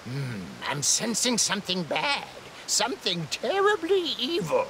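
Slow, elderly god. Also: male voice, sits high, huge pitch swings, vocal fry, bright, forceful tone, slight background noise, heavily acted. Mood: grave. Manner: ominous, deliberate. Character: grave, crotchety elderly male god. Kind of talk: anime game voice acting. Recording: music behind the dialogue.

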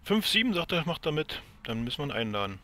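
A man's voice: on the high side, sing-song, quick pace, bright, forceful tone. A man from the Potsdam area in Germany, chatting casually while gaming. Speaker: gamer in a squad.

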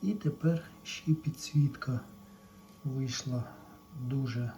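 A man's voice: medium pitch, takes it slowly, warm tone. A man casually narrating a hands-on repair for his viewers, explaining step by step in a plainspoken way. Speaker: electronics repair vlogger. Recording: clearly noisy home-made video recording.

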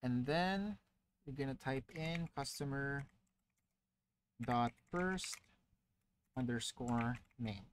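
A man giving a casual, step-by-step software tutorial as a voice-over to a screen recording, explaining with frequent filler words. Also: mid-range voice, slow, long pauses, slight background noise, low-quality recording. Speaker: tutorial presenter.

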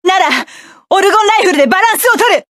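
A woman voicing a proud, hot-tempered young mecha pilot, barking sharp, clipped orders in game battle lines. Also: very high-pitched, lively intonation, very fast, no room sound, clean studio sound. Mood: defiant, strained.

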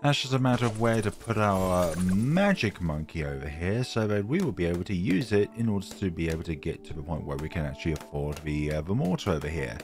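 A man hosting casual gameplay commentary, his voice croaky from a cold, chatty and self-mocking. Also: sits low, lively intonation, a little fast, few pauses, darkish tone, soft background music. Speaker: gaming channel host.

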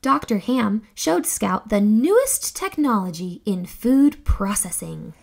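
A young woman reading a children's story aloud in a theatrical, expressive storytelling manner. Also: mid-range voice, slow, vocal fry, slight background noise. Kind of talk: expressive scripted read-aloud narration for children.

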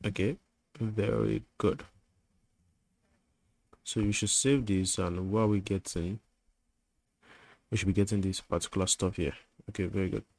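A man's voice: fairly low pitch, fairly even intonation, a little slow, pauses often, darkish tone, no room sound. A man giving a screen-recorded coding tutorial, thinking aloud as he types, with fillers and self-corrections.

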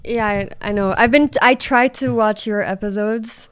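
Smooth-voiced Portuguese-born woman. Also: a little high, moderate pace, dry recording, clean studio sound. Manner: chatty, searching for words, laughs often. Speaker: podcast guest. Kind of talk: casual chat. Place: small studio room with dark walls. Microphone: desk microphone on boom arm.

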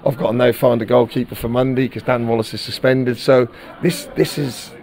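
A middle-aged football manager speaks frankly after a match. His voice sits at an ordinary middle pitch, and he repeats words and restarts his sentences as he works through his thoughts. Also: steady tone, a little fast, a little mellow. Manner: candid, repeats words while searching for them. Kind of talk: post-match interview.

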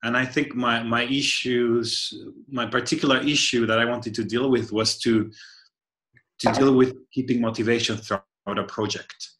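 A man in his thirties from Argentina, an engineer, speaking thoughtfully on a video call and pausing to search for words. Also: mid-range voice, steady tone, fairly bright tone. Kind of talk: casual video call chat. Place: home room by a window. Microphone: laptop webcam on a video call.

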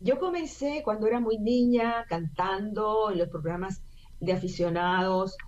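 A woman's voice: mid-range voice, unhurried, darkish tone, a little hiss or hum. An older woman from Puerto Rico with a Puerto Rican Spanish accent, an actress and singer chatting as a guest, telling her story with lots of fillers. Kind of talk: casual interview talk. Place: home room via video call. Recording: dull lo-fi video call audio.

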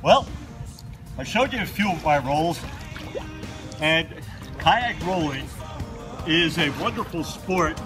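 A man in his seventies explaining kayak rolls slowly and with gentle humor, speaking outdoors on open water and picked up by a distant camera. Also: fairly high pitch, animated, over music. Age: 70s. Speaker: kayak instructor demonstrating rolls. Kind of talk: casual demonstration narration.

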